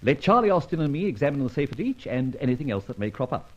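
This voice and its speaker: An actor playing a confident, genial gentleman safe expert in an old-time radio detective drama, with a jaunty, teasing manner. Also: mid-range voice, big pitch swings, fast. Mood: breezy. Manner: jaunty, teasing, self-assured. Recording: dull vintage radio recording, faint music.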